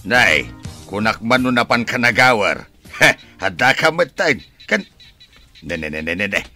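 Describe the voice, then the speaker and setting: An actor playing an emphatic, remonstrating husband in a radio drama, with a Filipino accent. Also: male voice, fairly low pitch, big pitch swings, unhurried, bright, forceful tone, faint music behind, exaggerated voice. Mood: emphatic, disapproving.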